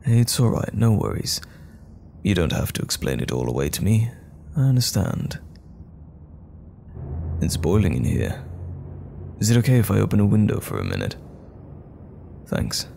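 A man acting as a tender, worried boyfriend in an intimate audio roleplay, speaking gently and soothingly to comfort a troubled partner at night. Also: fairly low pitch, sing-song, moderate pace, creaky, pauses often, a little hiss or hum, heavily acted. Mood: soft, reassuring. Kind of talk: intimate roleplay audio drama, soft bedside talk.

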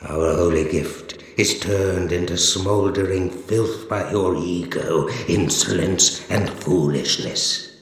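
An actor playing a huge, deformed, zealous monster from a video game, pompous and accusing, preaching in measured archaic phrases with a gravelly bass. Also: male voice. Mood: condemning, wrathful. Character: huge deformed zealous monster keeper of heaven, stern and menacing. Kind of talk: video game character voice lines, solemn dramatic monologue. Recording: cavernous echo with long reverb tail.

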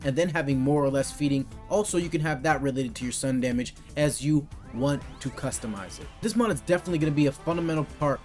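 A young man explains a game setup in an informal, slangy way. His voice sits a little higher than most men's, and music plays behind his commentary. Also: fast, hardly any pauses, darkish tone. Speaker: gaming video host. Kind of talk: casual game tutorial commentary.

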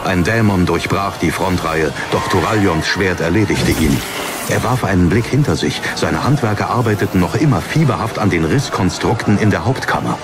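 A man's voice: deep voice, lively intonation, normal speed, loud music behind the voice. A man reading a dramatic fantasy audiobook as narrator.

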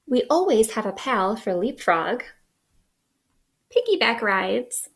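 A woman in her thirties hosting a children's story time, reading aloud in an expressive, sing-song way at home. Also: a little high, slow pace, gravelly, a little hiss or hum. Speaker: children's librarian, storytime host. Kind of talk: picture book read-aloud for young children. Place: small living room indoors.

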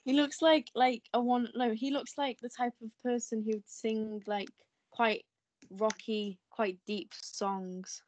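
Slow, halting young woman on a call. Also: a little high, steady tone, darkish tone, a little hiss or hum. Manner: unsure, halting. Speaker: student. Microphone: video call.

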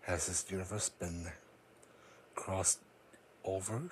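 Man playing weary psychic creature for fan audio drama: low-pitched, grave, formal, noisy home recording. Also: flat delivery, slow. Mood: grave, wary. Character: solemn, weary, psychic cat-like creature, proud and wary.

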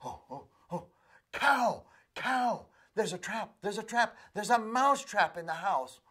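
A panicky little mouse squeaks and stammers out his warning in a high, bright, slightly breathy voice, as a male storyteller puts him on. He keeps repeating himself in urgent bursts, the way a nervous small creature would in a spoken folk tale. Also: expressive, unhurried, heavily acted. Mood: pleading.

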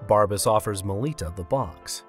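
A man's voice: fairly low pitch, normal speed, soft background music. A man reading scripted narration for a fantasy audio drama.

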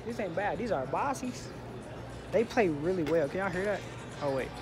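A man's voice: sits high, lively intonation, moderate pace, music in the background. An American man in his twenties chatting casually and in slang as he vlogs into a phone at arm's length. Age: 20s. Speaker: vlogger. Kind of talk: casual vlog chat, slangy. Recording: noisy outdoor, car and store ambience.

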